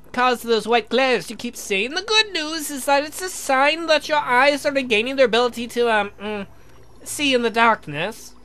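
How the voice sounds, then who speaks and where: A man voicing a polite, pedantic young scientist in a visual novel, speaking formally and haltingly as he explains. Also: extremely high pitch, sharp tone, clear, resonant tone, pitched above the performer's own voice. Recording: music behind the voice.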